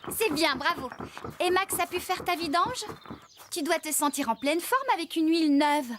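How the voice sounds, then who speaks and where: A woman playing a kindly, caring young farmer in a children's cartoon, with a gentle, encouraging and expressive manner. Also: very high-pitched, normal speed, a little hiss or hum.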